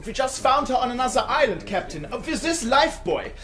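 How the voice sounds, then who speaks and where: An actor playing a dutiful German naval lieutenant who reports formally to a court in a radio drama. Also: male voice, very high-pitched, very animated, moderate pace, crowd noise. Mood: urgent.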